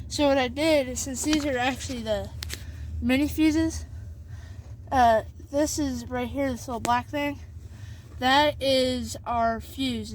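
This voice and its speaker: High-voiced woman, halting how-to talk. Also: a little hiss or hum, low-quality recording. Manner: halting, many fillers and repeated "essentially". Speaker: video host showing a vehicle setup. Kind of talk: casual how-to walkthrough. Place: in and around a pickup truck.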